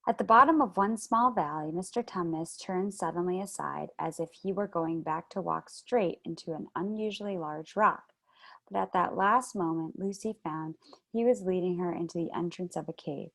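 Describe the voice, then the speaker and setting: A woman reading a children's novel aloud in a calm, even storytelling manner, heard over a video call. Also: lowish voice, moderate pace, gravelly. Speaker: children's read-aloud host.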